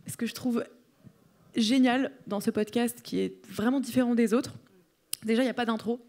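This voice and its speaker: A woman's voice: fairly high pitch, steady tone, normal speed, a little mellow. Enthusiastic woman interviewer. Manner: enthusiastic, hesitant with repeated words. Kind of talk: interview questions.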